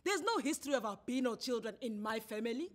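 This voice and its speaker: Woman voicing an indignant South African husband, loudly emphatic. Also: a little high, lively intonation, quick pace. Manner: emphatic, insistent. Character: indignant, aggrieved husband in his cups.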